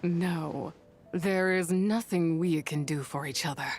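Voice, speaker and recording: An actress voicing an ancient imprisoned spirit in a video game, cold and haughty, with solemn archaic speech. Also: female voice, lowish voice, unhurried, darkish tone, faint music behind, lightly acted. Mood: bitter gravity.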